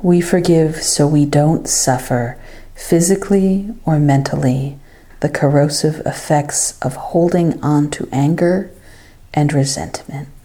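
Slow, low-voiced woman leading meditation. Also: mellow. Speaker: guided meditation leader. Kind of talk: guided meditation, scripted devotional narration.

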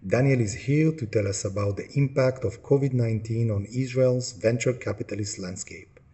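A man's voice: mid-range voice, moderate pace, warm tone. A man hosting a podcast interview, introducing the show and asking questions hesitantly, with many ums and restarts. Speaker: podcast host.

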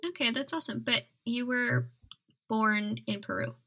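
A woman interviewing a guest in a relaxed chat, asking curious questions and giving short encouraging replies. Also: a little high, normal speed, slightly rough. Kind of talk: casual interview.

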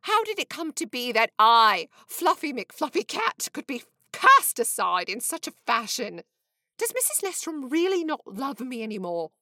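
A woman's voice: high voice, sing-song, normal speed, pushed and bright, no echo, studio quality, full character voice. A woman voicing a fretful, pampered female house cat who whines dramatically about being forgotten. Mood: self-pitying. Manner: dramatic, anxious whining.

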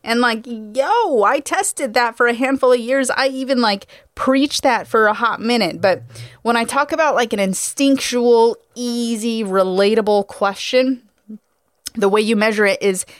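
A woman's voice: fairly high pitch, unhurried, no room sound, studio quality. A woman hosting a coaching podcast, talking informally in a slangy, emphatic, conversational way. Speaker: podcast host and car sales coach.

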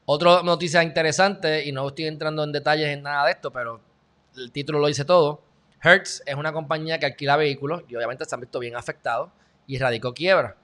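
A man's voice: fairly high pitch, fairly even intonation, quick pace, fairly bright tone. A man from Puerto Rico with a Puerto Rican accent, giving loose, joking commentary on the news to his viewers. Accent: Puerto Rican Spanish. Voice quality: loose, chatty, joking asides. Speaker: video host.

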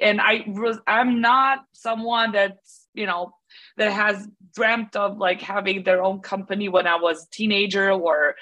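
Bright-voiced Serbian woman. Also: medium pitch. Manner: talkative, earnest, many fillers like "you know" and "like". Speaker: podcast guest, business owner. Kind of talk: casual interview talk.

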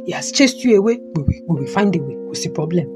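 A woman's voice: lowish voice, lively intonation, fast talker, close to an everyday voice. A woman playing a stern but supportive elderly father in an audio drama, with a Nigerian Yoruba accent and an emphatic, repetitive, admonishing delivery. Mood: firm, resigned. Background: guitar music.

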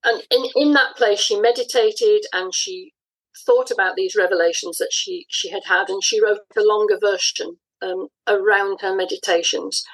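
Slightly husky woman, reflective interview guest. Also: mid-range voice, fairly even intonation, normal speed, low-quality recording. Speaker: interview guest, audio dramatist. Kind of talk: conversational interview, explaining.

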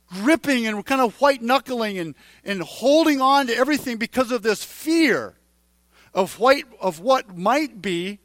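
A man in his forties preaches in a relaxed, conversational way, often circling back and repeating himself as he talks directly to the people in front of him. His voice sits very high for a man. It sounds close and dry, with almost no room around it. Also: no echo. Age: 40s. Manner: halting, repeats and restarts phrases, speaks straight to the congregation. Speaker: pastor. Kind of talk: conversational sermon.

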